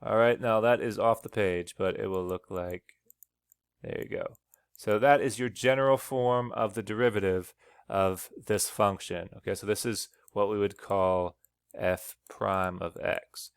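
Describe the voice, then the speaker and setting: Smooth-voiced male teacher explaining step by step. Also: lowish voice, no echo, clean studio sound. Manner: explains step by step, frequent "okay?" checks. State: slightly losing his voice. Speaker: math teacher. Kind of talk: recorded slide lecture.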